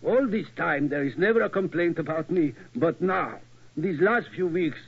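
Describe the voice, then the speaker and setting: An actor playing an anxious, deferential older German castle keeper, with a German accent and halting foreign phrasing, in 1940s radio style. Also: male voice, a little high, expressive, vocal fry, husky, full character voice. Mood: worried, pleading. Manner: halting, inverted foreign syntax. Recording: muffled, phone-like old radio recording.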